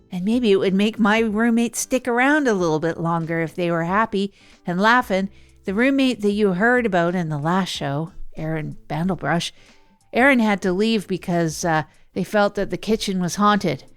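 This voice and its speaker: A woman's voice: medium pitch, natural voice. Woman playing an awkward, rambling radio host over music. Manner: hesitant, rambling, informal. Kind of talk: improvised comic monologue, chatty radio storytelling.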